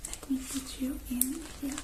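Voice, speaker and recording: A woman whispering softly and soothingly in an ASMR roleplay, speaking directly to the listener as a repair technician. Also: high voice, flat delivery, a little slow, very noisy. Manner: soothing, personal attention addressed to the listener. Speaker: ASMR creator playing a robot repair technician. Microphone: close ASMR microphone.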